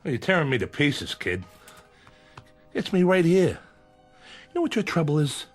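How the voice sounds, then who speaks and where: An actor playing a menacing bookmaking boss in a radio crime drama, with weary sarcasm and veiled threats. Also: male voice, fairly high pitch, very animated, a little slow, soft background music. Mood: sarcastic, hard. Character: menacing, sardonic male gangland bookmaking boss. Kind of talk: old-time radio crime drama acting.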